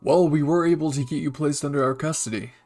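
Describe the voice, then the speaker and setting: A calm, commanding military leader, read by a man in a fan story reading. He speaks formally and evenly in a gravelly voice, with soft music behind. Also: on the high side, fast, natural voice.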